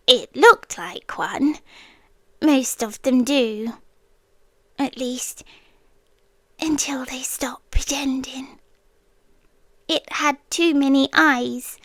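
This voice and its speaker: A British woman playing a tender, possessive, inhuman childhood friend in an intimate ASMR roleplay, speaking softly and often slipping into whispers. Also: high-pitched, dry recording, heavily acted. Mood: ominous, tender.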